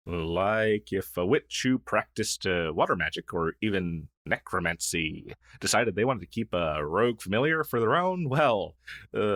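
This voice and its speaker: A man's voice: low-pitched, a bit bright, no echo, studio quality, heavily acted. A man playing a friendly, shy crow familiar in an intimate fantasy audio roleplay, speaking in a hesitant, earnest, conversational way. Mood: eager, explaining. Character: friendly, shy crow familiar, a masterless male magical helper. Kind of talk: intimate ASMR roleplay acting.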